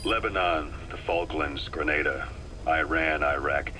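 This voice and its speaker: An actor playing a composed, worldly military operative giving a measured briefing in a video game. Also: male voice, a bass voice, background noise. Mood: matter-of-fact. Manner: measured, matter-of-fact, explanatory.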